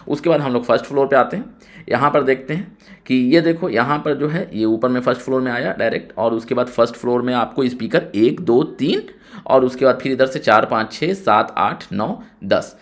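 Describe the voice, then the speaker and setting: A man giving an informal tutorial, explaining technical drawings step by step and often repeating himself. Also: medium pitch. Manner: step-by-step explaining with frequent repetition. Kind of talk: informal screen-share tutorial narration.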